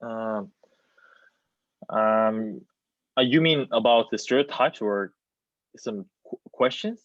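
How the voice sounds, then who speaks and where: A man's voice: fairly low pitch, slow. A young man, a native Korean speaker with a Korean accent, talking hesitantly on a panel and searching for his words over a video call. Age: young adult. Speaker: student playwright, panelist. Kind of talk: casual panel discussion. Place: plain room, indoors. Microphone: video call, wireless earbud worn. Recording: roomy, dull video-call audio.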